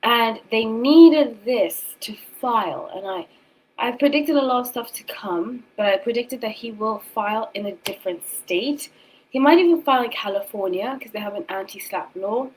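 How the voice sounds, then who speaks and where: A woman's voice: on the high side, normal speed, husky, rough recording. A woman in her thirties from South Africa and England with a British accent, hosting a casual livestream in a rambling way, repeating words for emphasis. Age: 30s. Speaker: tarot reader and streamer host. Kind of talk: casual livestream chat with commentary.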